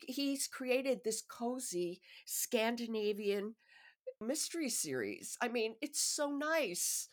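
A woman's voice: fairly low pitch, fairly bright tone. A woman hosting a podcast in a conversational book-review style. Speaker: podcast host.

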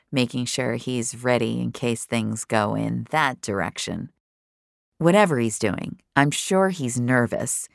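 A woman playing a cold, gloating serial killer who narrates an audio drama in a measured, menacing way. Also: extremely low pitch, no echo, clean studio sound, full character voice. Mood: quietly mocking. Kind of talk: first-person audio fiction narration. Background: dramatic score.

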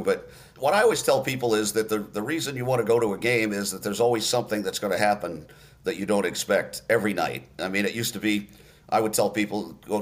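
Older male play-by-play broadcaster, low-pitched, chatty storyteller in an interview. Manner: storytelling, many fillers and restarts. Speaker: radio play-by-play announcer. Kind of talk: relaxed interview recollection.

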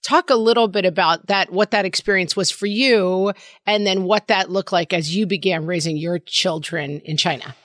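A woman's voice: lowish voice, normal speed, fairly bright tone, no room sound, studio quality. A woman co-hosting a podcast who has children of her own, asking thoughtful questions. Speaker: podcast co-host. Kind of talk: interview questions.

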